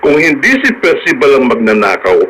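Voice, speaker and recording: An actor playing a measured, businesslike attorney in a radio drama, deliberate and questioning, with a Filipino accent. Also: male voice, mid-range voice, very animated, a bit bright. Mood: serious, probing. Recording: noisy radio drama broadcast.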